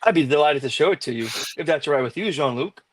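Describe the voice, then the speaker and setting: A woman's voice: sits low, lively intonation, fast, no room sound. A woman playing a polite, slightly jealous female starship doctor in a live script table read. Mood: polite, guarded.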